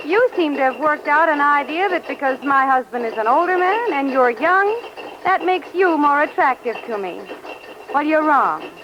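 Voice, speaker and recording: An actress playing a firm, guarded, loyal wife in a radio crime drama, answering curtly. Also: female voice, sits high, lively intonation, normal speed, dull audio. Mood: cold, firm. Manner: curt, guarded replies. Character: firm, wary, loyal wife of an older salvage diver. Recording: faintly noisy old radio recording.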